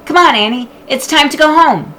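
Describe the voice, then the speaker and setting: Female narrator playing bookish young boy, storybook. Cautious and earnest, raised bright voice, lively intonation. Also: high voice, normal speed, slight background noise, voice pushed up. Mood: worried calling.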